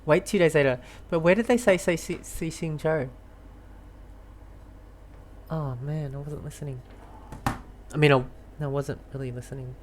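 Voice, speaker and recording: Low-voiced woman playing a game. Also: moderate pace, long pauses, darkish tone, a little hiss or hum. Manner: rambling, thinking aloud. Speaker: gaming streamer. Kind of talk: casual playthrough commentary.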